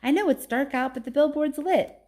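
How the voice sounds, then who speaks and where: Woman voicing an insistent, high-pitched young girl. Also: brisk, a little mellow, close to an everyday voice. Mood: earnest persuasion. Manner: emphatic, earnest, persuasive. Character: Julia, excited, insistent young girl pleading with her dad.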